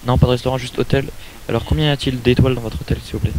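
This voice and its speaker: A man's voice: mid-range voice, flat delivery, rapid-fire, a little mellow, a little hiss or hum. A man making a prank phone call, politely persistent and hesitant in his questions. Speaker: prank caller.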